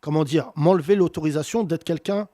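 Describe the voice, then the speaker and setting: A man hosting a heated political debate, talking with passion and emphasis and wide swings in pitch. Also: brisk, dark tone, no room sound, studio quality. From France. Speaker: host.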